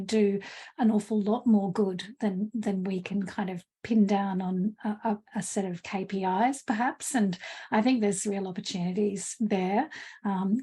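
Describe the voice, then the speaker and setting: A woman's voice: medium pitch, warm tone, slightly rough. A woman, speaking as a panel speaker in a rambling, hesitant way with many fillers. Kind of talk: panel discussion answer.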